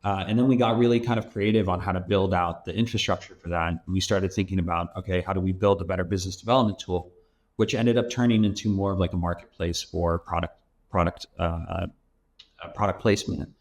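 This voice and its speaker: A man in his thirties from Los Angeles, speaking in a low voice as a guest on a podcast. His delivery is loose and rambling, full of "um" and "like.". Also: fairly even intonation, fast, a little mellow. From Los Angeles, USA. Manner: rambling and loose, heavy use of "like" and "um". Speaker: venture capital investor, guest. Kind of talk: casual interview talk.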